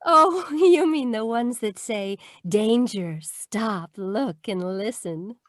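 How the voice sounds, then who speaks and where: Woman to play a flirty, helpless radio ingenue, high and unhurried, coy with every line. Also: lively intonation, no echo, clean studio sound. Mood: coy, flirtatious. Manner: coy, drawn-out. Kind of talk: old-time radio detective drama acting. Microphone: video call.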